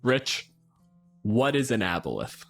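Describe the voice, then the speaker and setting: A man's voice: lowish voice, wildly swooping pitch, slow, creaky, soft background music. A man from Canada co-hosting a podcast, talking casually with a wry manner. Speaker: podcast co-host.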